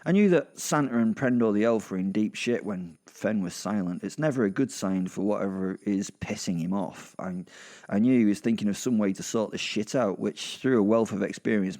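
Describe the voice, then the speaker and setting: A man's voice: lowish voice, fairly quick, dark tone. A man with a British accent narrating a horror audio drama in the first person, chatty and wry with sweary asides. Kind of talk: first-person conversational storytelling.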